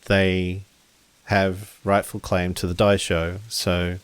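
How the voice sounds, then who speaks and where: Low-voiced man narrating slowly as game master. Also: little pitch movement, clear, resonant tone. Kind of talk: narrating a tabletop roleplaying game.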